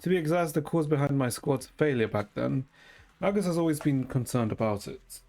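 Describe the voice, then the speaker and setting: Man, casual game streamer, medium pitch, musing asides, reads dialogue aloud, faint music behind. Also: animated, brisk, darkish tone. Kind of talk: casual gameplay commentary, reading story dialogue aloud. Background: video game music.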